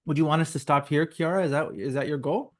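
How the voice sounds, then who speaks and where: Guy teaching, slow and thoughtful, on a video call. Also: on the high side. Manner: measured, deliberate. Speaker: co-host, teacher. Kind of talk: reflective teaching talk.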